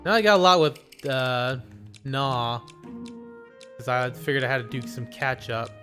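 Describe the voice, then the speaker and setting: A man's voice: mid-range voice, a little slow, pushed and bright. Chatty male streamer over game music. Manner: rambling, self-deprecating, chatty. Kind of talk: casual live stream talk. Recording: music playing behind.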